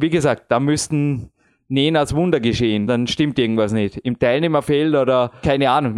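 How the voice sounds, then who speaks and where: A man in his late thirties talking at length in a casual chat, rambling and self-deprecating with frequent chuckles. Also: mid-range voice. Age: 30s. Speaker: podcast host and competitive climber.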